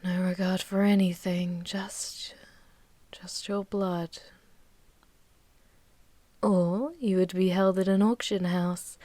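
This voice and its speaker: A woman performs a seductive female vampire warlord in a fantasy ASMR audio roleplay. She speaks slowly and languidly, mostly in an intimate whisper, with teasing menace and possessiveness. The sound is dry, with hardly any room to it. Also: medium pitch, dark tone, no room sound, natural voice.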